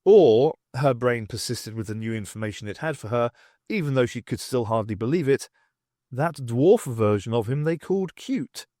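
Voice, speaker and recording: A man's voice: moderate pace, no echo, clean studio sound. A man reading a novel aloud as an audiobook, telling the story expressively. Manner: expressive storytelling with lively shifts in pitch. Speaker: audiobook reader. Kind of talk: scripted narration of a novel.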